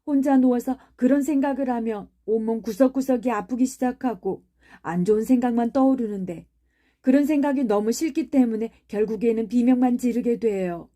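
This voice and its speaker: A woman's voice: fairly high pitch, moderate pace, dark tone, pitched above the performer's own voice, lightly acted. Bossy, sickly young boy who talks like a little prince, read by a woman narrator; audiobook. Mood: imperious. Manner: commanding, demanding. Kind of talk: audiobook character reading.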